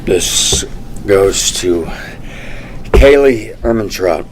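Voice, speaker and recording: A man playing a withdrawn, guilt-laden young man who speaks in halting, terse fragments at a script table read. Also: low voice, expressive, slight background noise, heavily acted, rough recording. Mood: subdued.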